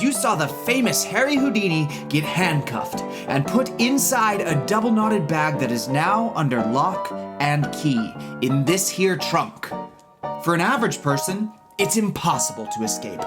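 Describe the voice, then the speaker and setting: An actor playing a practical, exasperated young male magician in an emphatic, theatrical audio drama style. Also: sits high.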